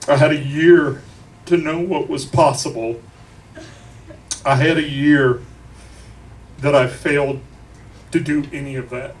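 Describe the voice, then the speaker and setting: A grieving man delivers an emotional eulogy to a crowd, speaking deliberately, with profanity and wry humor. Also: on the high side, fairly even intonation. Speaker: eulogist at a memorial. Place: crowded bar at a memorial. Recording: noisy live room, distant mic.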